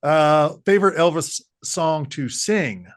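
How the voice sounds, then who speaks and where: A man's voice: fairly high pitch, animated, slow. A man hosting an interview, asking questions in an enthusiastic, encouraging way. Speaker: interviewer host. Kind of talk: casual interview chat.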